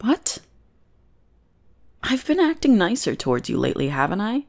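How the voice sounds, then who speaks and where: A woman's voice: mid-range voice, huge pitch swings, normal speed, creaky, a little mellow. A woman playing a bossy, teasing goth bully who is secretly smitten, in an intimate ASMR roleplay. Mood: grudgingly affectionate. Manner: mocking, drawling, intimate teasing. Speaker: voice actor in audio roleplay.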